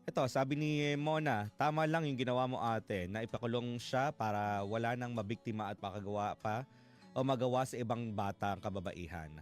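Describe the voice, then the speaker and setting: A man's voice: medium pitch, a little fast. A man hosting a live radio talk show, reacting to callers and listeners in a conversational way. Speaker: radio host. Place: radio studio. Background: background music.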